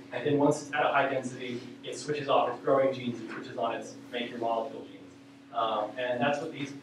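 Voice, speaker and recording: A young man gives an informal research talk in a lecture hall. His delivery is hesitant and full of ums, at a middling pitch. The sound is very reverberant and picked up from far away, with clear noise behind him. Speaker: presenter of a research news roundup. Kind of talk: informal academic presentation. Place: university lecture hall, indoors. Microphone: far camera microphone. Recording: echoey room, distant mic, clear background noise.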